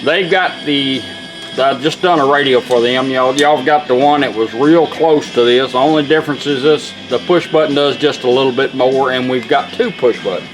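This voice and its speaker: A man's voice: mid-range voice, normal speed, music in the background, rough recording. A man giving an informal product demonstration in a folksy, plainspoken way. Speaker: presenter demonstrating a restored car radio.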